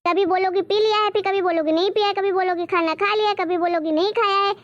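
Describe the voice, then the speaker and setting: A woman's voice: extremely high pitch, fairly even intonation, quick pace, dull audio. A woman voicing an exasperated daughter-in-law who argues with her forgetful mother-in-law. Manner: exasperated, arguing, explaining at length. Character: exasperated young daughter-in-law, put-upon.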